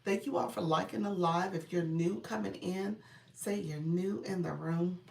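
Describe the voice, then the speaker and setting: Low husky-voiced congested woman streaming live. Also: moderate pace, darkish tone, distant mic. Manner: greets viewers by name, chatty and upbeat. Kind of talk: casual live stream chat. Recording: roomy sound, from off the mic.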